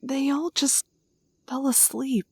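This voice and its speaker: A woman's voice: high voice, fairly even intonation, takes it slowly, a little mellow, dry recording. A woman playing an injured, selfless young princess in a fantasy audio drama. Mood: weak, shaken.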